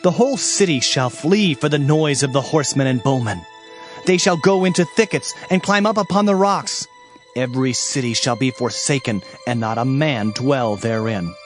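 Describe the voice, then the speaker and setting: A man's voice: medium pitch, moderate pace, faint music behind, close to an everyday voice. A man playing an anguished Hebrew prophet in a dramatized scripture reading, lamenting and pleading with theatrical emphasis. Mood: mournful warning.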